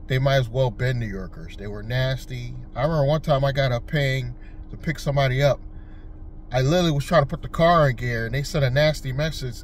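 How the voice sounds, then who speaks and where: A man's voice: medium pitch, a little hiss or hum. Rambling man driver chatting casually. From Connecticut. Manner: rambling, conversational, with frequent fillers and restarts. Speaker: rideshare driver and channel host. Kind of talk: casual vlog talk.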